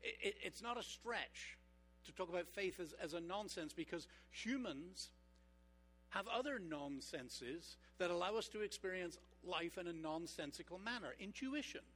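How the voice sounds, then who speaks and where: A man preaching a sermon as pastor, engaging his congregation with rhetorical questions and slowing down for emphasis. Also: high-pitched, lively intonation, fairly bright tone. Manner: slows down for emphatic points.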